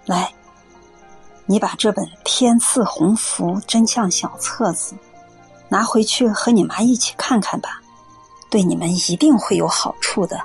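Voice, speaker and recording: An actress playing a kindly, patient middle-aged auntie who explains things earnestly in a radio drama. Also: female voice, mid-range voice, unhurried, gravelly, slightly rough, faint music behind, heavily acted. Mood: kindly, reassuring.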